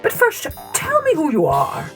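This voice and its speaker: A woman's voice: high-pitched, huge pitch swings, normal speed, a bit bright, faint music behind, voice pushed up, heavily acted. A woman voicing a hungry old male tiger in a children's folktale, blunt, proud and menacing.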